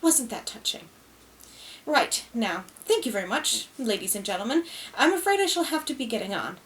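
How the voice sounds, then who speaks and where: Woman doing brisk cool medium lady, clipped. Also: on the high side, big pitch swings, a bit bright, distant mic. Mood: cold. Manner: brisk, cold. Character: brisk middle-aged medium, cold with rage.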